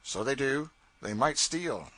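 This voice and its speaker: A man voicing a stern but fair raftsman leader, gruff and commanding. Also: mid-range voice, animated, takes it slowly, pushed and bright, heavily acted. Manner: gruff, commanding, then lenient.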